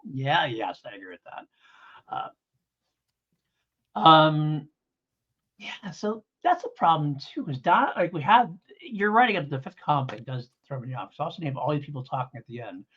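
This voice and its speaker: Male podcast co-host, very lively intonation, slightly husky, rambling and keen, on a somewhat dull lo-fi recording. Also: fairly high pitch, moderate pace. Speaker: podcast co-host reviewing audio dramas. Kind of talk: casual review chat.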